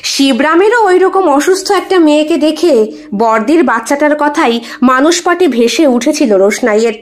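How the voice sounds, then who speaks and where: A woman's voice: sits high, expressive, moderate pace. A woman reading a scripted suspense story in a dramatic storytelling style. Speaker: audio story narrator.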